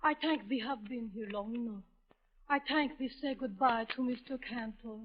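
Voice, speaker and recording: Actress as an aloof female screen star, slightly high voice, halting stilted delivery, 1930s radio comedy, dull noisy recording. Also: natural voice. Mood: formal, aloof. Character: aloof, world-weary female movie star, halting and formal.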